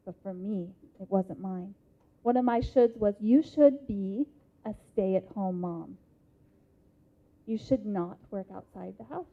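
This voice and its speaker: A woman in her thirties with an American accent gives an interactive motivational talk in a conference hall, speaking emphatically with deliberate pauses. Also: mid-range voice. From Idaho, United States. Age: 30s. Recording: muffled lo-fi live hall recording.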